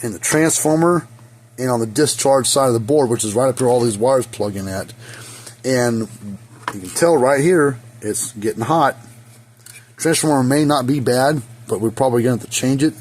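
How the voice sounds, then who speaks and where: A hoarse man giving a casual, rambling how-to repair demonstration in his hot workshop, with lots of fillers. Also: medium pitch, moderate pace, darkish tone. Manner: rambling, folksy, many fillers. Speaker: fence energizer repair technician. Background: faint hum of a small fan.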